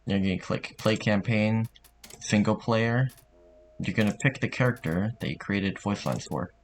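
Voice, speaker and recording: A man narrating a software tutorial in an informal, somewhat hesitant way. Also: lowish voice, moderate pace, slight background noise. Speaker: tutorial host.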